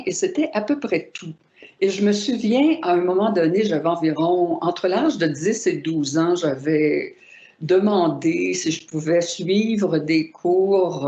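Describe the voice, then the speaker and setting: A woman from Montreal, a writer recalling her life in a thoughtful interview, pausing to search for words. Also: on the low side, vocal fry, warm tone. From Montreal, Quebec. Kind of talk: reflective personal storytelling. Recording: roomy, dull lo-fi recording.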